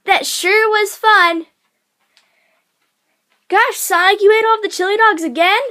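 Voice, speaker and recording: A woman voicing an eager, innocent young boy fox from a cartoon, in an excitable, childlike way. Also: very high-pitched, measured pace, pitched above the performer's own voice, heavily acted. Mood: cheerful, excited. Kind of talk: cartoon character audition.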